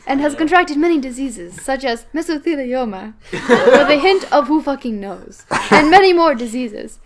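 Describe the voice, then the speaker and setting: A woman's voice: high voice, animated, normal speed, a crowd in the background. A woman co-hosting a podcast, reading a joke email aloud and giggling through it. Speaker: podcast co-host.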